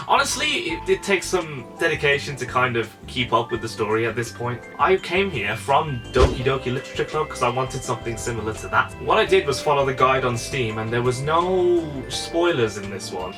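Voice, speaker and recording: Male video game reviewer, slightly higher than average, very lively intonation, chatty and mock-exasperated. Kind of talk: casual, comedic review narration.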